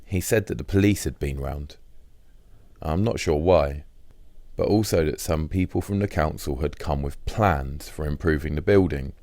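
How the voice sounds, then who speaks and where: A man's voice: rumbling low, moderate pace, darkish tone, dry recording. A man reading a first-person diary as scripted audiobook narration.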